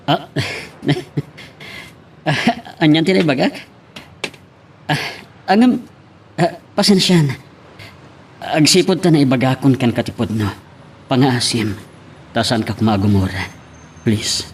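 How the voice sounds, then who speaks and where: A man's voice: medium pitch, a little slow, long pauses, natural voice. Earnest, hesitant suitor voiced by a man, faint noise. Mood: apologetic, pleading. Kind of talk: Ilocano radio-style love drama acting.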